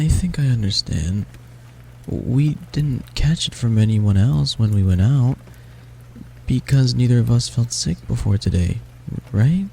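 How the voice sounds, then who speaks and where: A man voicing a sick, frightened young male catboy in an audio roleplay. The catboy talks softly, stammers and pleads. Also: medium pitch, vocal fry, a little hiss or hum.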